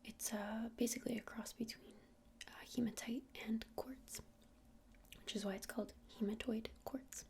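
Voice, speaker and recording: A woman playing a friendly witch shopkeeper in an ASMR roleplay, speaking in a soft breathy whisper with hesitant, informal explanations. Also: fairly high pitch, steady tone, moderate pace. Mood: gentle, helpful.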